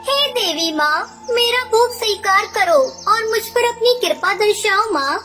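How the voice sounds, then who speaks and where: A woman's voice: extremely high pitch, lively intonation, a little slow, bright, forceful tone, exaggerated voice. A woman voicing a sweet, earnest little female bird in a children's cartoon, polite and pleading. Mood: anxious, pleading. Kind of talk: Hindi children's cartoon acting.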